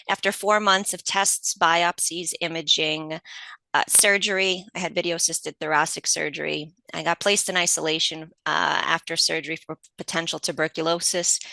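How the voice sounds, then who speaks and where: A middle-aged woman from Poland, whose first language is Polish, answering interview questions in a measured, articulate way. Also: low-pitched, creaky, pushed and bright, no echo. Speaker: patient advocate guest.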